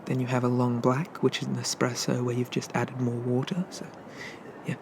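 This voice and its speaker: A man voicing a shy, friendly sea dragon barista in a soft-spoken ASMR roleplay, rambling and hesitant as he eagerly explains things. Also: mid-range voice, completely flat delivery, moderate pace, gravelly, background noise, lightly acted. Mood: helpful, gentle.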